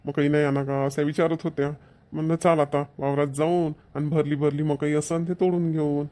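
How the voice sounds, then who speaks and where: A man's voice: on the high side, level tone, moderate pace, darkish tone, close to an everyday voice. A man voicing an agreeable, easygoing middle-aged farmer husband in a cartoon comedy, with a rural Maharashtrian Varhadi accent. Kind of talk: Marathi cartoon comedy voice acting.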